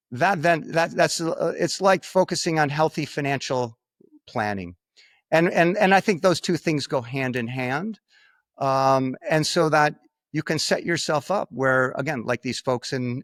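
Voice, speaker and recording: An American man talking as an interview guest, haltingly repeating words for emphasis and often checking with a tag question. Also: a little high, a bit bright, no echo, clean studio sound. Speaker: podcast guest, finance expert. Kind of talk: conversational interview talk.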